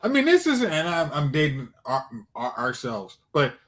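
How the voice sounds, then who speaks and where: A man's voice: a little high, wildly swooping pitch, normal speed, slight background noise. A man giving opinions in casual back-and-forth banter as a podcast panelist.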